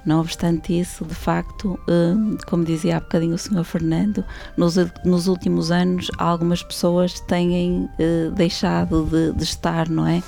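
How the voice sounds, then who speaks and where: Woman, gravelly low voice, flat delivery, faint music behind. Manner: measured, hesitant with frequent fillers. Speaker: panel guest. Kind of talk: formal local panel talk.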